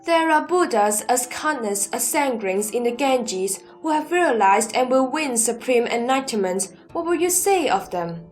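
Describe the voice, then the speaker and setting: A woman plays an earnest holy disciple in a sutra audio drama, with a fairly high voice. The delivery is formal and measured, probing like a student in debate. Music runs underneath. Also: lively intonation. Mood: earnest, challenging.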